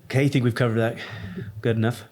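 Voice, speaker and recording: A man talks quickly and eagerly while co-hosting an insurance chat, moving the conversation along from topic to topic. His voice sits in a mid pitch and keeps a brisk pace. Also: level tone, darkish tone, a little hiss or hum. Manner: quick, enthusiastic, steers the topics. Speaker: insurance broker co-host.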